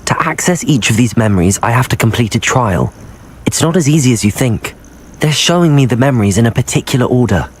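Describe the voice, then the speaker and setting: Man acting an earnest, expressive student wizard. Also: mid-range voice, a little fast, a little hiss or hum, close to an everyday voice. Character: level-headed, cautious teenage wizard student, earnest and firm. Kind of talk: video game voice acting.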